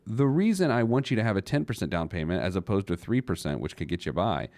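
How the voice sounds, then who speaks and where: A man's voice: fairly low pitch, a little mellow, dry recording, clean studio sound. A man hosting a personal finance show, giving conversational advice in an emphatic manner with rhetorical questions and joking asides.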